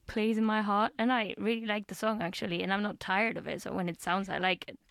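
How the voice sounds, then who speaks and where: A young woman co-hosting a radio program, her voice smooth and clear. She chats loosely, laughing often and stopping to correct herself. The studio sound is dry and close. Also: medium pitch, steady tone, fairly quick, a bit bright, no echo. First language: not Swedish. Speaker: radio co-host. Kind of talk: casual radio chat.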